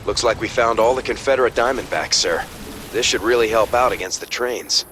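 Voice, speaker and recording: An actor playing a dutiful second-in-command officer in a video game, formal and measured as if giving a briefing. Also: male voice, medium pitch, noisy background, natural voice. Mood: businesslike.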